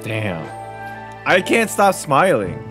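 Excitable young man with an animated higher voice. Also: takes it slowly, over music. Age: young adult. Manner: excitable, slangy. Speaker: streamer. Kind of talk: casual livestream reaction commentary. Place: small home room. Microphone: studio mic on boom arm, close.

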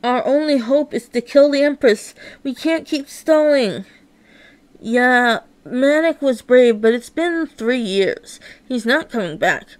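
A woman's voice: sits high, animated, slow pace, smooth, dry recording. A woman narrating a dramatised comic read-along, acting out every character and sound effect herself. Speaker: narrator reading a comic aloud.

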